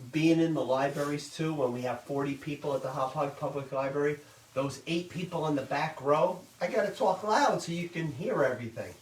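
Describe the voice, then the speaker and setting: A middle-aged man leads an online baking class in a loud, friendly, chatty way, ending nearly every step with "okay?". He sounds far from the mic in an echoey kitchen. A faint hum sits behind him. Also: a little high. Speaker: cooking instructor. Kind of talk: live virtual baking class.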